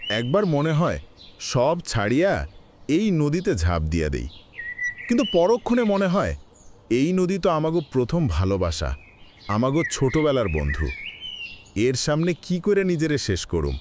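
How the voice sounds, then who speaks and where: Cartoon role, male actor: sorrowful, resigned elderly father, rural Bangladeshi Bengali accent, plaintive, very animated intonation. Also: a little high, measured pace, long pauses, noisy background. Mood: despairing. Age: older. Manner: plaintive, reflective speechmaking. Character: sorrowful, resigned old father being sent away. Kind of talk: melodramatic cartoon voice acting.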